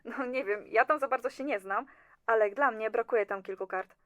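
A woman's voice: fairly high pitch, normal speed, a bit bright, dry recording, muffled recording, close to an everyday voice. A woman playing a chatty, nonchalant and slightly condescending office clerk in an audio drama. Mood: matter-of-fact, evasive. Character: breezy, self-serving female office clerk in communist-era Poland.

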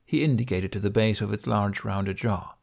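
A man's voice: fairly low pitch, normal speed, gravelly, a little mellow. A man reading a scripted story aloud as an audiobook narrator.

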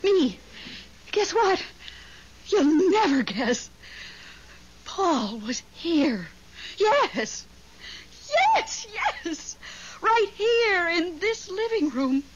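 A woman's voice: very high voice, sing-song, very slow, long pauses, full character voice. A woman playing an emotional, clinging widow with a dramatic, wistful, insistent manner in 1970s radio drama style. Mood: breathless, excited. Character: emotional, clinging widow named Melba, devoted to dead husband. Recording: dull 1970s radio recording, noisy.

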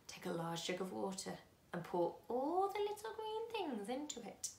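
A wizened, whiskered old man, put on by a woman reading aloud, speaks in a slow, creaky voice, dropping lower and into coaxing whispers. He sounds secretive and strange, a storybook stranger. The reading carries a roomy echo. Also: wildly swooping pitch, a little mellow, heavily acted. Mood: urgent instructing. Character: small, bald, whiskered, sly old man.

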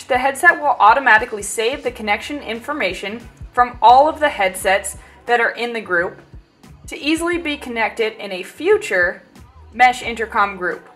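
A woman with a fairly high voice narrates a product tutorial. She reads scripted, step-by-step instructions, with faint music playing behind her. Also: fairly bright tone. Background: background music.

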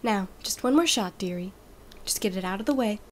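A woman's voice: mid-range voice, expressive, brisk, soft background music, close to an everyday voice. A woman voicing a kindly, motherly pony nurse who speaks in a cooing, reassuring way in a fan radio play. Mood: soothing. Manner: cooing and reassuring, calls others dear.

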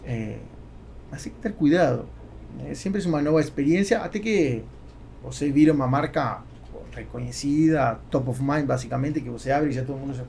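A man in his forties with a Spanish accent in Portuguese, talking casually and haltingly as a podcast guest. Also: medium pitch, vocal fry, a little mellow. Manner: halting, with repeated words. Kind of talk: casual interview talk. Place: small foam-padded podcast studio. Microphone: close studio microphone on boom arm. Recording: faint background noise.

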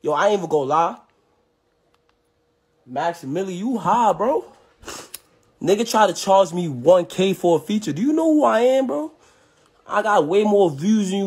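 A man's voice: sits high, a little mellow, husky. A young man, talking in a brash, boastful, slangy way on a casual livestream. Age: young adult. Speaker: rapper. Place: small indoor room at home. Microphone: handheld phone, close and moving.

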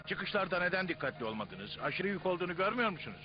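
Demanding cartoon chief, impatient, fairly high, muffled audio with music. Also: male voice, very animated, quick pace. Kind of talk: dubbed educational cartoon acting. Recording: dull lo-fi soundtrack, music behind.

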